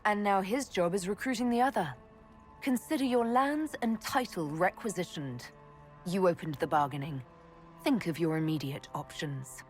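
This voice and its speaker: An actress playing a pragmatic female elf leader in a video game, measured, firm and matter-of-fact. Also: fairly low pitch, soft background music, natural voice. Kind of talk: video game cutscene acting.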